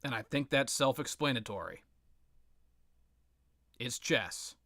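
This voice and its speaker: Man, forceful bright voice, dry close sound, rambling game commentary, sarcastic, profane, repetitive. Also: mid-range voice, expressive, slow pace, no room sound. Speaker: video game reviewer and host.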